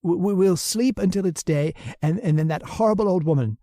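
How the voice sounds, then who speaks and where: An actor playing a weak, nervous husband with secret murderous intent in a radio horror drama, stammering and hesitant. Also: male voice, sits high, a little fast, warm tone, dry recording, clean studio sound, natural voice. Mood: nervous, coaxing. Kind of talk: old-time radio horror acting.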